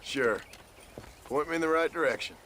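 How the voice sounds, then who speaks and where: An actor playing a gruff, guilt-ridden American outlaw trying to reform, halting and plain-spoken, in a video game. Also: male voice, a little high, sharp tone, a little hiss or hum. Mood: guarded, obliging.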